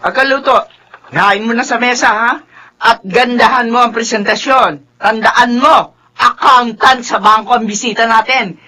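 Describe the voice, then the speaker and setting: A woman's voice: on the high side, steady tone, unhurried, bright, forceful tone. A woman playing a domineering, nagging middle-aged mother with a Filipino accent, melodramatic in radio drama style. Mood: nagging, impatient. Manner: scolding, melodramatic. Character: domineering, nagging twice-widowed mother who favors one daughter.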